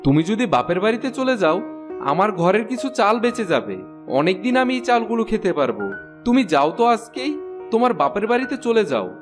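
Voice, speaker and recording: High-pitched stingy, grumbling husband. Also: male voice, very animated, brisk, music in the background. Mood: dismissive, scornful. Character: stingy, stubborn young village husband. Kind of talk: Bengali cartoon voice acting.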